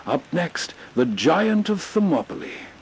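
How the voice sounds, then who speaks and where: A man hosting a late-night radio show with slow, scripted narration. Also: a little high, big pitch swings. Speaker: host. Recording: faint hiss and rain behind voice.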